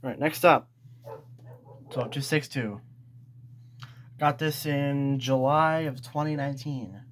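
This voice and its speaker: A man talks casually through a collection in an unscripted, rambling way, often doubling back on himself. His voice sits at an ordinary pitch, recorded with light noise and small clicks around it. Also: slow. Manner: rambling, backtracks and repeats himself. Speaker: collector presenting weather radios. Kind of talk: casual unscripted show-and-tell. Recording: noisy home recording with clicks.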